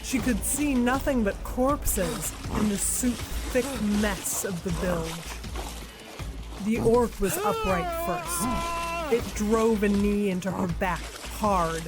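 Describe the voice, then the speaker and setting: A woman narrating an audio drama in a slow, dramatic reading style. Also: mid-range voice, expressive. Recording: narration over music score.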